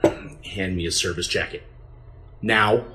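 An actor playing a stern president of a future Earth in an audio drama, authoritative and clipped in manner. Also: male voice, sits low, fairly even intonation, slow pace, heavy background noise. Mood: firm.

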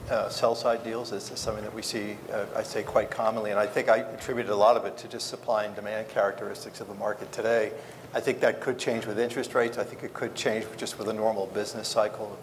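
A middle-aged man giving unscripted commentary as a panelist on a conference stage. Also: fairly low pitch. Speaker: panelist, investment banker. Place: conference stage in a large hall. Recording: reverberant hall, faint background noise.

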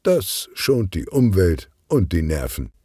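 A man's voice: low-pitched, sing-song, slow, dark tone, breathy edge, no echo, studio quality. A man narrating a children's detective story from a script. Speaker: audiobook narrator.

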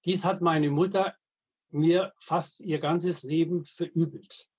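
A man, telling anecdotes as an interview guest with halting, hesitant phrasing and dry humor. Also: on the high side, steady tone, darkish tone, husky. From Middle Franconia, Germany. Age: 80s. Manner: halting, frequent hesitations and restarts. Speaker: guest, veteran wastewater engineer and editor. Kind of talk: podcast interview, storytelling.

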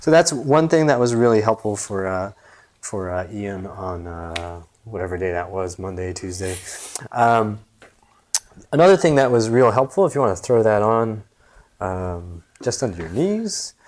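A man's voice: fairly low pitch, expressive, normal speed, darkish tone. An American man in his thirties, a movement coach giving a casual, jokey exercise demonstration in a small gym. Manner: jokey, informal explanatory. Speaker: movement coach and video host.

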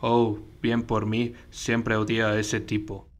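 A man plays a clueless Sith apprentice in a parody fan dub. He speaks slowly in a low monotone, hesitant and nonchalant about his evil. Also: a little hiss or hum. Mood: casual. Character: clueless deadpan male Sith apprentice, blandly murderous.

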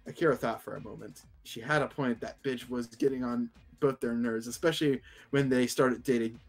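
A man's voice: mid-range voice, fairly even intonation, a little fast, faint music behind. A man streaming a casual, stumbling read-aloud of fan fiction, breaking off to comment. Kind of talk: casual fanfic reading with commentary.

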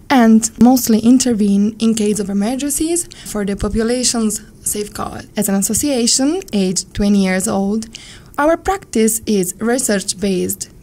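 A woman with a northern Italian accent, a volunteer psychologist answering interview questions in a measured, earnest way, Italian being her first language. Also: fairly high pitch, dark tone. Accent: Italian, from around Turin. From northern Italy. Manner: measured, earnest, careful non-native phrasing.

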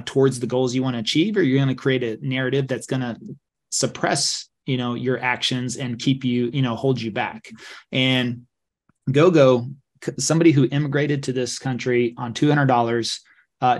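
A man in his forties hosting a video podcast, introducing his guest in a casual, rambling way at a desk mic. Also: mid-range voice. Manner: casual, many fillers, reads stats. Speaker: podcast host. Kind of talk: interview introduction. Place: home studio.

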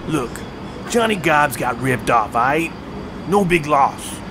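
An actor playing a cocky, scornful street mugger in an audio drama, full of slangy dismissal. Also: male voice, a little high, animated, slow pace. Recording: clear street ambience noise behind.

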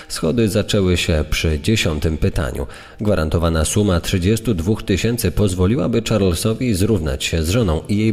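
Niski męski lektor z długim pogłosem. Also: male voice, low-pitched, darkish tone. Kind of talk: scripted true-crime narration. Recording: long reverb, music underneath.

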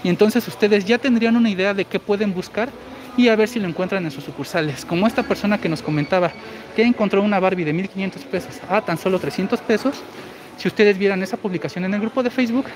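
A man in his forties with a high, girlish-sounding voice, chatting through a live store walkthrough and reading viewer comments. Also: fast talker. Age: 40s. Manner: chatty, explains deals while walking. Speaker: shopping deals streamer. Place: large indoor supermarket. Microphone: phone held while walking. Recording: noisy store ambience.

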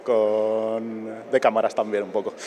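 Hesitant young man, low voice. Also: completely flat delivery, measured pace, warm tone. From Spain. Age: young adult. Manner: hesitant, with fillers and a nervous laugh. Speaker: engineer. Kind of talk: on-the-spot interview. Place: conference venue lobby. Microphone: reporter's handheld mic. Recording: crowd chatter in a busy lobby.

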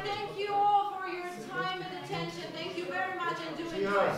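A woman's voice: very high voice, normal speed, over music. A woman guiding a brandy tasting, explaining patiently with small hesitations. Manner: polished, explanatory, hesitant fillers. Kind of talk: guided tasting talk. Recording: echoey hall, far mic, crowd.

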